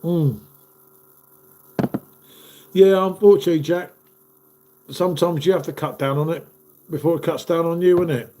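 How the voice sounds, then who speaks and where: A chatty man in his fifties hosts a live stream and reads out viewers' comments. His voice sits a little high, and a cold leaves him blocked up and nasal. He keeps calling everyone "mate". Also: fairly even intonation, normal speed, pauses often, mellow, slight background noise. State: stuffy nose from a cold.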